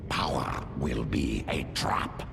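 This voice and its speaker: Game creature: huge primal alien beast, extremely slow and growling, terse, cryptic. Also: male voice, a bass voice, creaky, noisy background, exaggerated voice. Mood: grave, cryptic. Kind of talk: video game voice acting.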